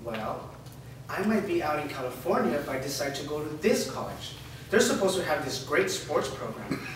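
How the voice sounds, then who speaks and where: A man's voice: a little high, big pitch swings, moderate pace. A man playing a blunt, self-centred young boyfriend in a staged drama reading. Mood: offhand. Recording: echoey room, distant mic, clear noise.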